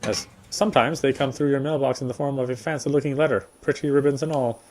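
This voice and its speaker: Man reading game story aloud, mid-pitched. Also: steady tone, fast, background noise. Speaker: gameplay streamer reading aloud.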